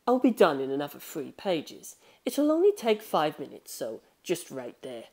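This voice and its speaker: A woman voicing a brisk, self-assured male manga artist in an audiobook, speaking in a matter-of-fact, articulate way. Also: low-pitched, huge pitch swings, a little mellow, heavily acted.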